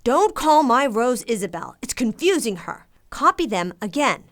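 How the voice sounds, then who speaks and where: A woman playing a mother and nun in an audio drama, with a deliberate, dramatic delivery. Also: on the high side, big pitch swings, fairly bright tone. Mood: exasperated. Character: stern, busy mother, later a reflective nun.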